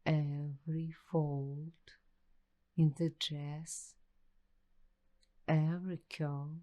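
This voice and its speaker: An uneasy young waitress, acted by a woman in a slow ASMR roleplay. Her voice is low and mellow, and she talks extremely slowly, in hushed fragments with long pauses, as if confiding her suspicions to the listener. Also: little pitch movement. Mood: intrigued. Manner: hushed, halting delivery in short broken phrases with long pauses.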